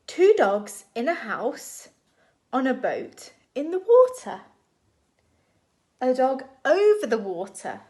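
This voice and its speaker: A woman reading a picture book aloud to young children in an expressive, deliberate storytime style. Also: sits high. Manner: expressive, animated, deliberate phrasing for small children.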